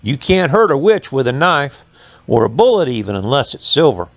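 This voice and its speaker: Old rural mill owner, played by a man, folksy storybook figure, forceful, plain-spoken, roomy dull sound. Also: mid-range voice, sing-song, moderate pace. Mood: earnest. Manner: folksy rural dialect phrasing.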